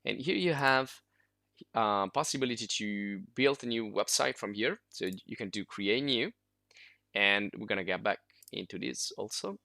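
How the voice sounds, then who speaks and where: A man whose first language is Italian, talking through a screen-recorded software tutorial casually, with many fillers and restarts. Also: lowish voice, normal speed, gravelly, dry recording. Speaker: software instructor.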